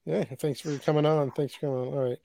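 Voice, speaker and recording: A man's voice: a little high, fairly even intonation, fast, creaky, warm tone, no room sound. Enthusiastic Canadian male co-host, dry sound. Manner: enthusiastic, stumbling restarts. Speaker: podcast co-host. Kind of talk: casual podcast chat.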